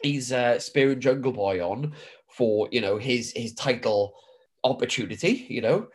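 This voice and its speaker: A middle-aged British man co-hosts a podcast while stuffed up with hay fever. He chats loosely and swears now and then, with a voice of ordinary pitch. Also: measured pace, husky. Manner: chatty, swears casually, says "bro" and "mate". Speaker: podcast co-host. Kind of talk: casual chat.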